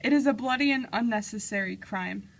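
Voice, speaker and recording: A woman's voice: mid-range voice, brisk, creaky, low-quality recording. A woman playing an anxious, distressed queen and mother in an amateur puppet-show play. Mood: reproachful.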